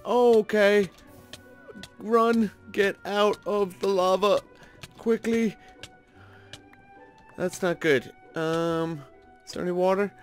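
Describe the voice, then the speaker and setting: A man with a slightly high voice gives casual commentary for a gaming video. He sounds chatty and playful, thinking aloud as he goes. Faint music plays behind him. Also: slow, pauses often. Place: indoor voice-over recording over gameplay. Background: background music.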